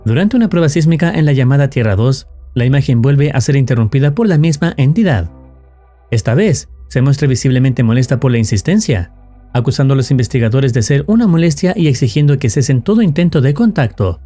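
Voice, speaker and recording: Man, scripted story narration, animated intonation, soft mellow tone, faint music behind. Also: mid-range voice, fairly quick. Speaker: narrator of horror story explainers.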